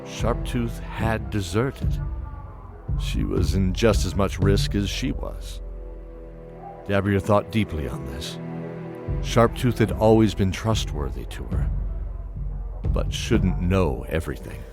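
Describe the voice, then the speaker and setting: A man with a very low voice narrates a fantasy tale. His delivery is measured and dramatic, with music clearly playing underneath. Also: animated. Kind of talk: scripted fantasy story narration.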